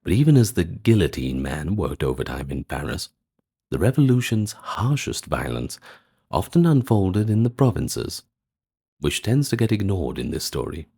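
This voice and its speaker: A man narrating a scripted history lecture in an ASMR style, measured and explanatory, with brief asides. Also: a bass voice, a little mellow, husky.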